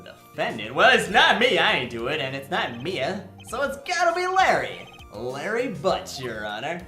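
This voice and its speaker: A man's voice: a little high, wildly swooping pitch, moderate pace, sharp tone, echoey room, faint music behind, full character voice. A man playing a nervous young rookie lawyer in a comedic game fan dub, joking and rambling when flustered.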